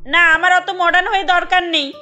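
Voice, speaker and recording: Very shrill scolding mother-in-law played by a woman. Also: fairly even intonation, normal speed, pushed and bright, faint music behind. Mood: exasperated lament. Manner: indignant, lamenting, reproachful. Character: scolding, old-fashioned mother-in-law, middle-aged or older woman. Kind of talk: animated family story dialogue.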